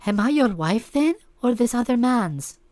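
A man's voice: extremely high pitch, sing-song, normal speed, darkish tone, dry recording, pitched above the performer's own voice. A man reading aloud voices a troubled young wife, raising his voice into earnest, pleading questions.

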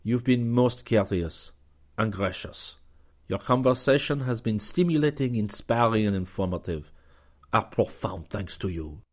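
A man's voice: mid-range voice, big pitch swings, normal speed, voice pushed up. A man voicing a gracious but sly French starship commander who speaks in flowery, ironic phrases. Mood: courtly, ironic.

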